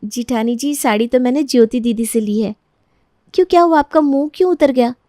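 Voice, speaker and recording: Woman voicing a coy, high-pitched young bride. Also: brisk, mellow, dry recording, clean studio sound, close to an everyday voice. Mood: coy, teasing. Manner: coy, mock-innocent. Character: sweetly teasing young bride, sly younger sister-in-law. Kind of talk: melodramatic storybook acting.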